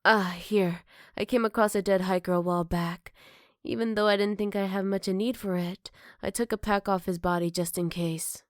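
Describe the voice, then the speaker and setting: A woman playing a gentle, caring motherly werewolf in an ASMR roleplay, soft-spoken with hesitant pauses and tender teasing. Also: medium pitch, steady tone, no room sound, studio quality, close to an everyday voice. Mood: calm, matter-of-fact. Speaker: voice actor. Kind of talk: ASMR roleplay addressed to the listener.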